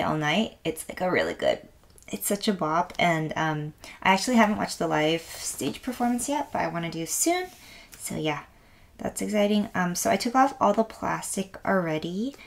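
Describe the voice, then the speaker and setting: A woman with a raspy voice today, chatting softly and gushing with lots of fillers as she unboxes an album at a table. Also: on the low side, sing-song, moderate pace. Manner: soft, rambling, gushing with many fillers. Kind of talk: casual unboxing chat. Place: indoors at a wooden table.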